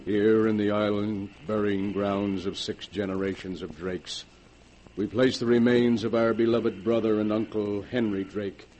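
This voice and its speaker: A man's voice: lowish voice, little pitch movement, measured pace, darkish tone, close to an everyday voice. A man as a grave, anxious father. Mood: solemn grief. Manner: solemn, paternal, earnest. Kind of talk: 1940s radio horror acting. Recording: noisy 1940s radio recording.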